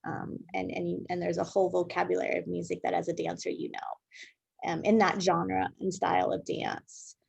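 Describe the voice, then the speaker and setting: Interview guest: American woman, noticeable vocal fry, close dry sound, thoughtful, emphatic delivery. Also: lowish voice, flat delivery, moderate pace, no room sound. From Southern California, United States. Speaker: interviewed guest, dance artist and educator. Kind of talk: conversational interview, reflective explaining.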